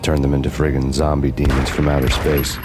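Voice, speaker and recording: An American actor playing a brooding, world-weary hardboiled detective in a video game, deadpan and sardonic. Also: male voice, very deep voice, fairly quick, music in the background. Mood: brooding, wry. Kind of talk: hardboiled game narration and dialogue.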